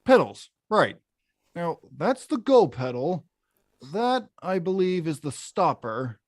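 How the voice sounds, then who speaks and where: Woman playing a blunt, animated big guy. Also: fairly low pitch, takes it slowly, dry recording, clean studio sound, exaggerated voice. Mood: explaining, unsure. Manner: blunt, hesitant, exclamatory. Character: gruff but good-hearted big man, clumsy coach. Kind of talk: live animated-film table read.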